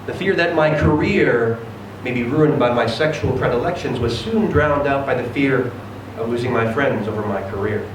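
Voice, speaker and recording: A man giving an expressive, theatrical reading of a first-person short story. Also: mid-range voice, normal speed, hardly any pauses. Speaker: reader of a short story at a live event. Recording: very echoey room, distant mic, noisy.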